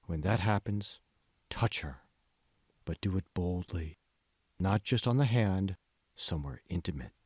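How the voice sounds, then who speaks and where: Man voicing a smug, low, measured AI assistant. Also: a little mellow, breathy edge, dry recording, studio quality, heavily acted. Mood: instructing. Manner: slow, condescending, coolly controlling. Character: smug, manipulative AI assistant speaking from a phone. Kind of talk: audiobook character voice.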